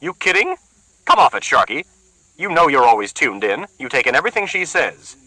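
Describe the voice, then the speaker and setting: A man voicing a loud, sarcastic woman who needles everyone with sour jabs. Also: very animated, fairly quick, no room sound, heavily acted, poor audio. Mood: aggrieved. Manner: shrill, jabbing, sour sarcasm.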